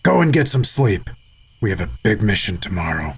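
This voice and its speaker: An actor playing a blunt, tough second-in-command soldier in an audio drama, stern and challenging, with a gravelly voice. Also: male voice, on the low side, sing-song, moderate pace, exaggerated voice. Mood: firm, challenging.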